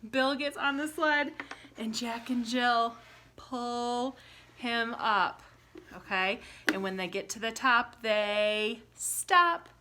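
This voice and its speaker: Bright-voiced, unhurried woman teaching young children. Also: a little high. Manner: simple child-directed talk with frequent questions to the listener; animated, acting out exclamations and sounds. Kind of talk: children's story retelling with props.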